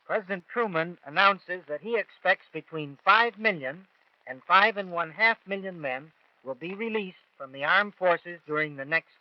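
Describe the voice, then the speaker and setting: A man's voice: high-pitched, no room sound. An American man reading news items as a 1940s radio reporter. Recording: dull vintage 1940s radio broadcast.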